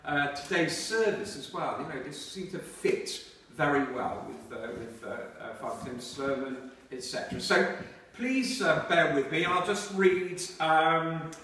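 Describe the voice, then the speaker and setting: A man reading aloud from a novel to listeners in a measured storytelling way. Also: fairly high pitch, lively intonation, pushed and bright. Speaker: reader at a book reading. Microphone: distant microphone. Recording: very echoey hall, far from microphone.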